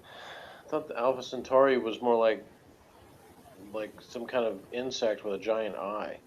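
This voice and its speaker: A man's voice: fairly low pitch, fairly even intonation, measured pace. A man talking casually as co-host of a review discussion. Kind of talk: casual chat. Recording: dull, muffled lo-fi sound.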